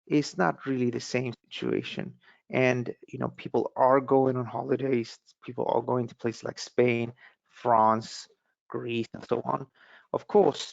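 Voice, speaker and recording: A man from Sweden talks through market commentary in a loose, rambling way, often restarting his sentences. His voice has a gravelly edge, and the recording sounds dull, dry and close. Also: medium pitch, no echo. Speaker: market analyst.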